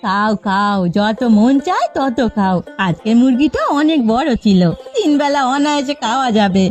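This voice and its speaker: A woman voicing a greedy, doting village wife, wheedling, in an animated folk tale. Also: fairly high pitch, normal speed, soft background music, lightly acted.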